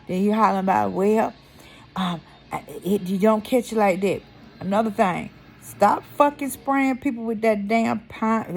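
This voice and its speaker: A middle-aged woman holds forth in a casual vlog, blunt and heated, swearing freely and hammering each point home twice. Her voice sits at a medium pitch with a gravelly, fry-laden texture. Faint music plays in the background. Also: a little mellow, low-quality recording. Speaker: vlogger.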